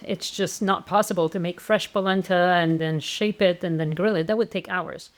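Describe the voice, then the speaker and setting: A woman walking through meal plans as an instructor, in a casual, conversational manner with frequent fillers and restarts. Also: medium pitch. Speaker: plant-based meal planning instructor. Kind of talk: casual explanatory walkthrough.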